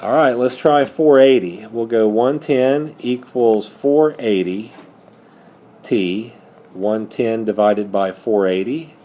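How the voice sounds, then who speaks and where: Slow-talking male math teacher, muted tone. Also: medium pitch, dark tone. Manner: asks questions and answers them himself. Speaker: high school math teacher. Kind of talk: step-by-step math lesson with questions and answers.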